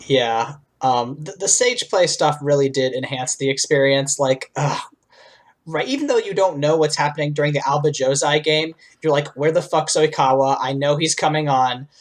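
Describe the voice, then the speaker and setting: Female podcast co-host with a notably low voice, informal, slangy, free with swearing. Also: normal speed. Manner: slangy, swears freely, says "like" constantly. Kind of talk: casual pop culture podcast chat.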